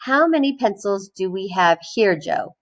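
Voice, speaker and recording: A woman voicing a firm, persistent, exasperated female schoolteacher in a children's storybook, instructing with drawn-out emphasis. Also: medium pitch, lively intonation. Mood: correcting.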